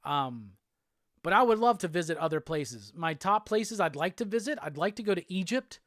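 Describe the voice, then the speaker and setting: A man from Alabama with a Southern accent, hosting a casual live show and answering chat comments in a relaxed, rambling way. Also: high voice, normal speed, no room sound, studio quality. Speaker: host.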